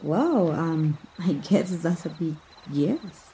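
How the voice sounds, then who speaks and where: A woman with a Mexican accent playing a playful, tender young princess in a romantic ASMR roleplay, teasing gently with bashful hesitations. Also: deep voice, sing-song, takes it slowly, full character voice. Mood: warm, shy. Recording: noisy outdoor nature ambience.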